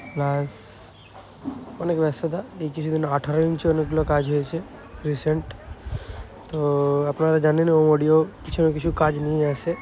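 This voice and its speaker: A man's voice: fairly high pitch, warm tone. A man with a West Bengal Bengali accent giving casual, plain-spoken, informal vlog commentary on his work, repeating himself often. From West Bengal, India. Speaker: speaker repair shop owner presenting his work. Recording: muffled lo-fi sound with faint noise.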